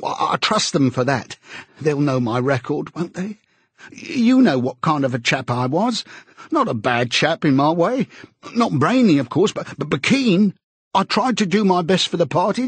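Pathetic eager prisoner in an audiobook, high husky voice, stammery, bootlicking, totally loyal. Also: male voice, no echo. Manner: pleading, blubbering, stammering, sententious, old-chap British idiom. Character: pudgy servile man, naive, eager, pitifully loyal prisoner.

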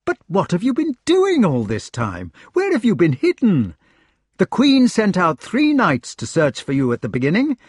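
A man voicing an excited, affectionate storybook knight, gushing and exclaiming as he speaks. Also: sits high, moderate pace, breathy edge, dry recording, clean studio sound, pitched above the performer's own voice, exaggerated voice. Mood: eager, questioning. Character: excited, affectionate knight searching for his lost friend. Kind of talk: storybook character voice.

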